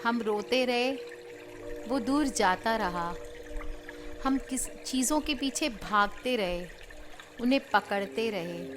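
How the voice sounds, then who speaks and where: An Indian woman leading a spiritual healing guided meditation in measured short phrases with pauses between them. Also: mid-range voice. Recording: clear background noise of trickling water.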